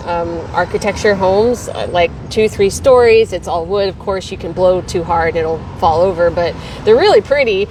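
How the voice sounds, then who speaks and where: A woman's voice: mid-range voice, a little mellow, slight background noise. Chatty rambling woman outdoors, distant mic. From Texas. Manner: chatty and rambling, laughs easily. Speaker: host of a weekly Q&A livestream. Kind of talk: casual livestream chat, reading viewer comments.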